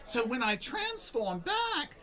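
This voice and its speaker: Woman playing a giggly, high-pitched tiny sorcerer. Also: sing-song, takes it slowly, bright, forceful tone, heavily acted. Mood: rambling, giggly. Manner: rambling, breathless, giggly. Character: hyperactive, rambling, tiny male sorcerer, giggly and scatterbrained. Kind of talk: comedy radio play acting.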